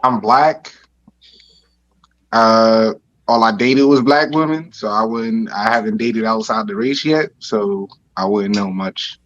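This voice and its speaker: A man's voice: fairly low pitch, slow pace, fairly bright tone. A man in his twenties, a guest in a casual group chat, talking plainly. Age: 20s. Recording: dull lo-fi sound.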